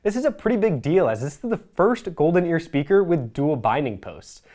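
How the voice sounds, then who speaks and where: A presenter reading a scripted product review in a measured, explanatory way. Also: medium pitch, very animated, dark tone.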